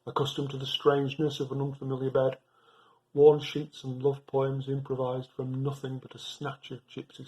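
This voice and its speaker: Man reading poetry aloud, measured phrasing. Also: mid-range voice, rough recording. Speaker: poetry reader.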